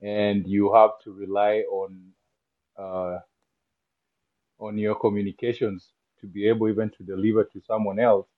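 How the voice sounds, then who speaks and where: A man from Kenya with a Kenyan accent, talking as an interview guest, speaking haltingly with many restarts and easy laughter. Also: lowish voice, little pitch movement, phone-quality sound. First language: not English. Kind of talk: interview conversation.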